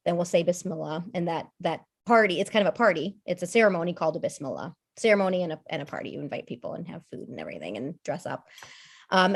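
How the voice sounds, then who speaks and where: A woman in her thirties, a podcast guest, talking in a relaxed, thoughtful way with many fillers and restarts. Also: lowish voice. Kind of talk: casual conversational discussion.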